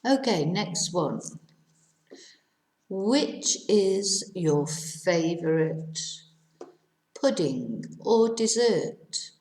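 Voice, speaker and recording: Slow, clear woman teaching. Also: lowish voice, lively intonation, darkish tone, reverberant. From England. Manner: slow and clear, repeats each question, switches between English and Spanish. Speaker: English teacher. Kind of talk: language lesson for Spanish speakers.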